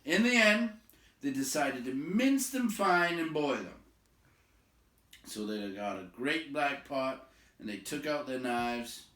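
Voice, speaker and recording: A man reading a fantasy story aloud as an expressive storyteller. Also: mid-range voice, slow pace, echoey room.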